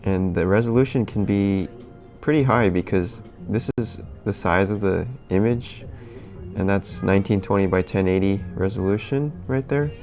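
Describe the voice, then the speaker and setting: Low gravelly man chatting on a livestream. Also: normal speed, faint music behind. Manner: relaxed, rambling, reads and answers chat. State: a little sniffly. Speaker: livestream host. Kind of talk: casual livestream chat.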